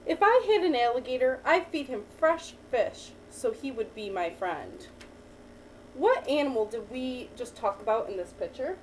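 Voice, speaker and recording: A woman teacher reading a picture book aloud to a child, pausing to ask questions and praise the answers. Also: fairly high pitch, very animated. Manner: patient, encouraging, asks and praises. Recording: distant mic with faint background noise.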